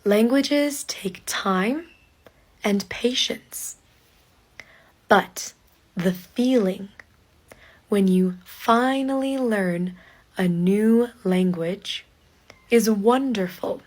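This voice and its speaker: A woman teaches English on her own podcast at an extremely slow pace. She speaks in short, deliberate phrases with clear pauses between them, and her pitch sits in the middle. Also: a little mellow.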